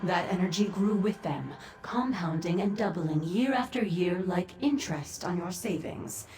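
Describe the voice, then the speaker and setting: An actress voicing a cold, composed otherworldly sorceress in a video game, speaking in a formal, measured, haughty way. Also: female voice, fairly low pitch, darkish tone, slight background noise, natural voice. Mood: composed, imperious. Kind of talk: video game character dialogue. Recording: echoing voice over background music.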